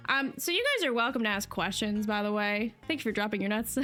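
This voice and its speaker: A woman's voice: medium pitch, fast talker, pushed and bright, faint music behind. A woman from New Jersey, a livestreamer chatting casually with her chat in a rambling, talkative way. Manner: chatty, rambling with fillers and laughs. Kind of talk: casual chat to a live stream chat.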